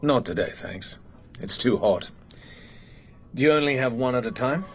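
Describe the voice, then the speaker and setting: An actor playing a suave, world-weary British secret agent in a radio drama, with an English accent and a clipped, dry, understated delivery. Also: male voice, medium pitch, expressive, moderate pace, faint music behind, lightly acted. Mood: casual, charming.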